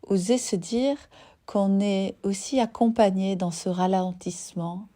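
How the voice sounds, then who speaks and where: A woman leads a guided yoga nidra relaxation as the teacher, soothing and unhurried, leaving long pauses between short phrases. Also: mid-range voice, steady tone, dark tone. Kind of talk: guided meditation.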